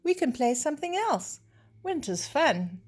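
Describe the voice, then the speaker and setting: A woman's voice: sits high, very animated, unhurried, voice pushed up. A woman voicing a cheerful, playful young male animal friend in a storybook, chummy and encouraging.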